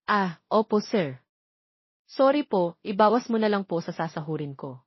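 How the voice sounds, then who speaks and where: A woman's voice: medium pitch, lively intonation, moderate pace, husky, no room sound, dull audio. A woman voicing a cheerful, teasing young nanny in a narrated love story. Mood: apologetic. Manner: playful, teasing.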